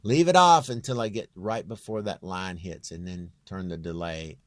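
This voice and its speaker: Deep-voiced man in casual tutorial mode. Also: moderate pace, no echo. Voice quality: very low, near-bass voice. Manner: rambling, self-deprecating, plain-spoken. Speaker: home-recording singer giving a tutorial. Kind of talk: casual off-the-cuff software walkthrough.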